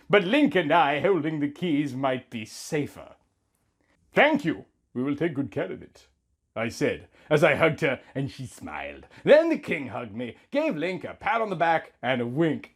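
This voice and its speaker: Theatrical man reading with swooping, lively pitch. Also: husky. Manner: mock-earnest, melodramatic, with drawn-out emphasis. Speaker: host of a comedy reading series. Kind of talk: theatrical dramatic reading of fan fiction.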